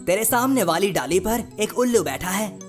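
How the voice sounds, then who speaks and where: A woman's voice: mid-range voice, lively intonation, moderate pace, lightly acted. A woman voicing a cheeky, chatty cartoon sidekick who teases and mocks in sing-song rhymes. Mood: teasing. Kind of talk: comic cartoon dubbing in Hindi with rhymes. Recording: music bed under the voice.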